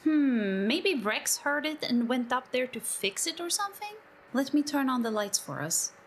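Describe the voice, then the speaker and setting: A woman's voice: high voice, very animated, normal speed, background noise. A woman playing an eccentric, curious tech-priest scholar, affectionate and a little nervous, in a sci-fi audio drama. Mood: puzzled caution.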